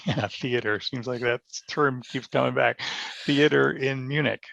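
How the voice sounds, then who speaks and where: A man talking casually and reflectively as a podcast guest, thoughtful and halting, often pausing mid-sentence. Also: medium pitch, vocal fry, fairly bright tone, no echo. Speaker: podcast guest, amateur theater member. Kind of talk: casual reflective interview conversation. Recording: dry, dull lo-fi sound.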